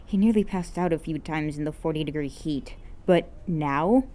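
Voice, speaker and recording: Woman, lowish pitch, dark mellow tone, amateur story narration, read aloud. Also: moderate pace. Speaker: amateur podfic reader. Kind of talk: scripted narration, fan fiction read aloud.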